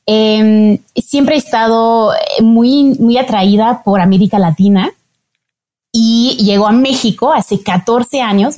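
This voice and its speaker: A woman's voice: medium pitch, darkish tone. A woman from France with a slight French accent, a guest answering interview questions in a thoughtful way with many hesitations.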